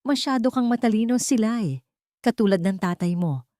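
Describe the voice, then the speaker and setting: A woman's voice: fairly high pitch, expressive, normal speed, mellow, no room sound, pitched above the performer's own voice, close to an everyday voice. A cold, remorseless older villain played by a woman in a narrated melodrama. The uncle talks in a smug, taunting way, with menace in each line. The sound is close and dry. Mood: smug threat.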